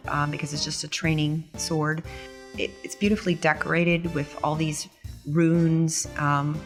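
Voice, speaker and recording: A woman's voice: low voice, a bit bright. A woman speaking casually and a little hesitantly as a player on a tabletop role-playing podcast, thinking aloud. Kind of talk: casual character introduction.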